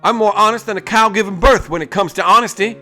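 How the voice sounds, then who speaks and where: A man voicing Ellie, a stubborn young female gunslinger, in a strained high drawl. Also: fast talker, pushed and bright, soft background music. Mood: indignant.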